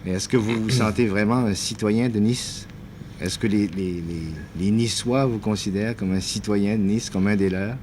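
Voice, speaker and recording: A man interviewing a guest for broadcast, asking measured, probing questions. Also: on the low side, steady tone, a little mellow, a little hiss or hum.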